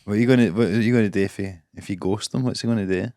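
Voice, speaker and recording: A man's voice: on the low side, steady tone, very fast, dark tone. A man with a Scottish accent co-hosting a podcast, chatting casually and laughing often. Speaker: podcast co-host. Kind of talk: casual banter.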